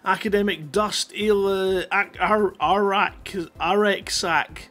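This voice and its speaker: A man's voice: high voice, fairly even intonation, takes it slowly, fairly bright tone, soft background music. A middle-aged man hosting a livestream, chatting casually and rambling in a jokey, self-deprecating way into a headset mic. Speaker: live stream host.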